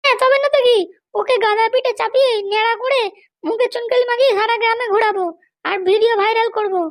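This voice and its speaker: A woman's voice: extremely high pitch, fairly even intonation, fast talker. A woman voicing a bossy, vengeful female politician and scolding wife in a comedy cartoon, haranguing and boastful.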